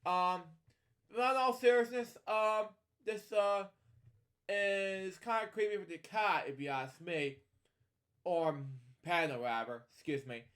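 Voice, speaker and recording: A man with a fairly high voice hosts a casual storybook read-along. He wanders off into opinionated asides and speaks straight to his listeners. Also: takes it slowly, a bit bright. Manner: digressive, opinionated commentary addressed to listeners. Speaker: podcast host.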